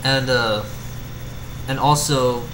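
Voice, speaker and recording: A man reading a story and chatting casually in an amateur, halting way, often repeating his words. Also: mid-range voice, steady tone. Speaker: fan fiction reader. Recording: roomy echo with clear background hum.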